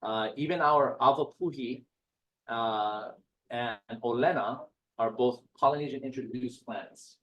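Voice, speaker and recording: A man gives a relaxed, chatty talk full of jokes and asides. His voice sits at an ordinary middle pitch. The recording is echoey, with the speaker standing some distance from the mic. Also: unhurried, muffled recording. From Hawaii. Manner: chatty, joking, digressive. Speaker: guest speaker. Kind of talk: casual lecture talk.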